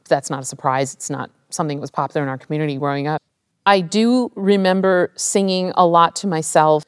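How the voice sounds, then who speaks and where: A woman's voice: deep voice, dry recording, clean studio sound. A woman, thoughtfully telling her life story in an interview. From United States (California). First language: raised bilingual in an Indian immigrant family. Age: 50s. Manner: reflective, candid, unhurried. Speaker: interview guest, opera singer.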